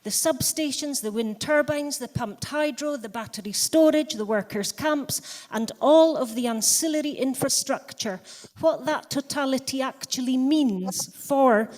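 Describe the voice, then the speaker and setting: A woman with a gentle Scottish Highland accent, chairing a convention and welcoming everyone in a measured public speech. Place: large hall. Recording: long hall reverb.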